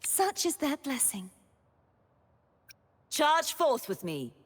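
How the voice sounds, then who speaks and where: A woman's voice: high voice, big pitch swings, takes it slowly, long reverb tail, heavily acted. An actress playing a noble, solemn young woman knight in a video game, with formal, dramatic delivery. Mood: resolute. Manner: formal, dramatic, archaic phrasing. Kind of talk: scripted video game character voice lines.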